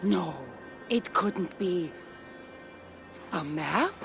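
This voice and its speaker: A wry woman warrior of a cinematic video game. Her Greek accent carries teasing, dry banter, and her pitch moves around expressively. Music plays behind her. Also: expressive, drawn-out words, creaky, exaggerated voice. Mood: wry, curious.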